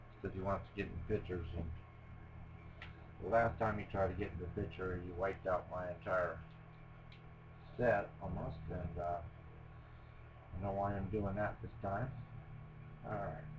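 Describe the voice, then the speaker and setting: A man's voice: deep voice, slight background noise, rough recording. A middle-aged man rambling casually to camera as he builds a toy set at home, poking fun at his own mistakes. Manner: rambling, self-deprecating, many fillers and restarts. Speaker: hobbyist building a Lego set on video. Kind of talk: casual running commentary while building. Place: at home, on a table, with a cat nearby.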